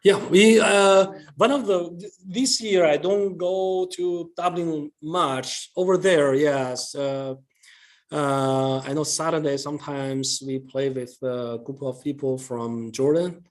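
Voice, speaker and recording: Halting middle-aged man. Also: fairly high pitch, fairly even intonation. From China. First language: Chinese. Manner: halting, many pauses and restarts. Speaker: guest speaker. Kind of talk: answering audience questions.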